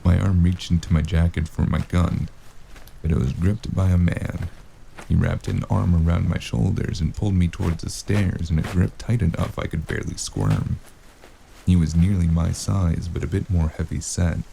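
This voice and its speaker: A man playing a weary, sardonic investigator in a scripted cyberpunk noir audio drama, telling his story in a gravelly, cursing voice. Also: sits low, warm tone, slight background noise, poor audio. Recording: music bed behind the voice.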